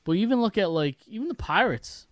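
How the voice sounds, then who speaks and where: A man's voice: sits high, animated, fairly quick, no room sound, studio quality. A young American man in casual sports talk as a podcast co-host, blunt and loose in the way he speaks.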